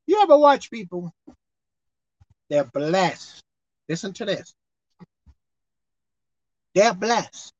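A woman preacher delivering a sermon with animated, call-and-response delivery, acting out bits of dialogue. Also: mid-range voice, slow pace, long pauses, dry recording. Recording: dull lo-fi dry sound.

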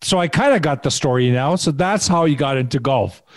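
Friendly man from Canada hosting, casual, quick to affirm. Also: a little high. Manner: friendly, repeats short affirmations. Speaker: podcast host. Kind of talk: casual interview chat.

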